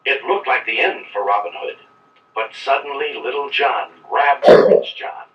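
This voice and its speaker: A man's voice: mid-range voice, unhurried, fairly bright tone, recorded from a distance, slight background noise, natural voice. A man reading scripted narration for a children's read-along storybook. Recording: roomy, dull playback of old read-along record.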